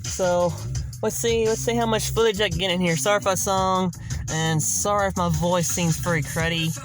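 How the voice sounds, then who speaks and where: A man's voice: sits high, steady tone, normal speed, low-quality recording. A man vlogging from his car, rambling and restarting as he keeps calling out to the viewers. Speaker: railfan vlogger. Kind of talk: casual vlog talk to viewers. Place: inside a parked car by a highway. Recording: car radio music playing behind. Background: country music from the radio.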